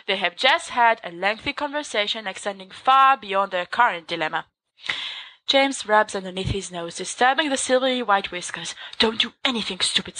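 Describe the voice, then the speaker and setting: A woman narrating a story aloud in an expressive, lively reading. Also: medium pitch, low-quality recording. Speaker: podfic reader.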